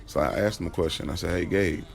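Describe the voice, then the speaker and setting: A man's voice: sits low, vocal fry, faint music behind. A man playing an easygoing young driver telling his own story in casual, slangy audio drama narration. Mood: casual, storytelling. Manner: conversational, slangy, unhurried. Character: Jalen, easygoing young male driver, smitten, recounting his day.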